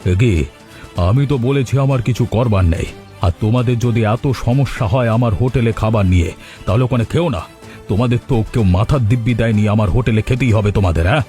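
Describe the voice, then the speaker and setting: A man's voice: medium pitch, quick pace, mellow, soft background music, natural voice. An actor voicing a crooked male hotel owner in a cartoon, blustering and wheedling. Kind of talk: cartoon voice acting.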